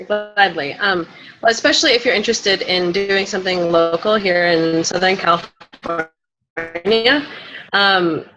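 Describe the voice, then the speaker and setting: A woman's voice: lowish voice, unhurried, a bit bright, faint music behind, low-quality recording. A woman speaking informally and conversationally as a panelist, often dropping "like" into her sentences. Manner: informal, frequent use of "like". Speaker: panelist, community organizer. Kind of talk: conversational panel discussion answer.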